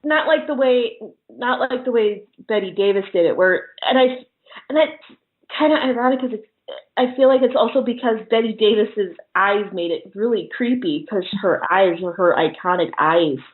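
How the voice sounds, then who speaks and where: Give a woman guest in a casual interview, chatty and prone to giggling through her anecdotes. Her voice sits at a middling pitch. The sound is thin and muffled, like a phone call. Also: normal speed. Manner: giggly, often laughing as she talks. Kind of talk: casual chat, telling personal anecdotes.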